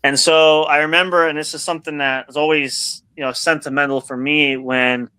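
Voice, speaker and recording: A man's voice: fairly high pitch, steady tone, moderate pace, bright, forceful tone, clear, resonant tone, no echo. A man hosting a podcast in casual interview chat, rambling with lots of restarts and fillers. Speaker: podcast host.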